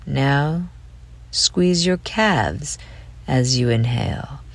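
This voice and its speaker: A woman leading a guided relaxation meditation in a gentle, soothing way, with phrase ends trailing off into breath. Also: rumbling low, drawn-out words, slightly rough.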